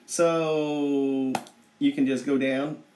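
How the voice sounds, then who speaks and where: A man's voice: medium pitch, little pitch movement, very slow, darkish tone, slight background noise. A man putting on an American Southern accent as a brash, folksy redneck livestream host who rambles, boasts and swears casually to his viewers. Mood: chatty, rambling. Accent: put-on American Southern. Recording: roomy, echoing livestream audio.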